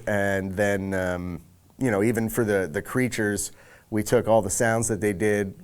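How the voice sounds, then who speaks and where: Male audio director: lowish, clear resonant voice, thoughtful conversational interview delivery. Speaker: senior audio director at a game studio. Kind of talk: reflective making-of interview.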